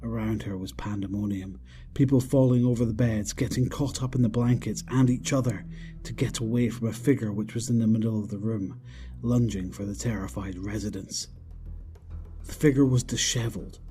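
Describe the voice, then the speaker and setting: A man narrates a horror audio drama from a script. His voice is fairly low and dark in tone, over faint background music. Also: fairly even intonation, normal speed.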